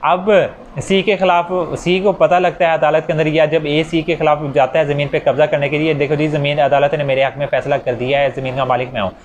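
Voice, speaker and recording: A man's voice: on the high side, little pitch movement, a little fast, lo-fi sound, a little hiss or hum. A man with a Pakistani accent giving an informal step-by-step law lecture, repeating key phrases and mixing in English legal terms. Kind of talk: explanatory lecture with worked examples.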